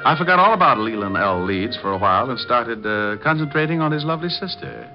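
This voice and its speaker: A man's voice: medium pitch, fast, over music, lightly acted. Wry private eye with swooping delivery played by a man. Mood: flirtatious, wry. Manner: deadpan, wry, laconic. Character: suave wisecracking male private detective. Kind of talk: 1950s radio detective drama acting. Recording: noisy, dull vintage radio recording.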